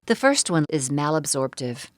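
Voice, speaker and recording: A woman giving a scripted, explanatory narration for a medical presentation in a measured way. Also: low voice, big pitch swings, a little hiss or hum. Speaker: seminar narrator.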